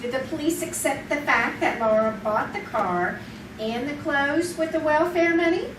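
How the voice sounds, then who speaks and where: An actress playing a cold, ruthless, scheming wife in an old-time radio style crime drama. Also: female voice, high-pitched, normal speed, close to an everyday voice. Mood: cool, calculating. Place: live theater stage. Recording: distant echoey stage recording, clear noise.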